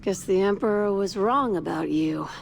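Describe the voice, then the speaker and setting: A woman's voice: medium pitch, normal speed, vocal fry, slight background noise, lightly acted. An actress playing a steadfast samurai warrior woman who narrates her war in a video game cutscene. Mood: grave, resolute.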